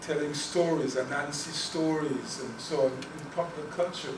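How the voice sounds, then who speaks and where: A man's voice: on the high side, fairly even intonation, moderate pace. A man from Guyana giving an academic talk and answering questions, earnest, often repeating words as he thinks. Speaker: guest speaker on Caribbean languages. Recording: echoey room, distant mic, noisy.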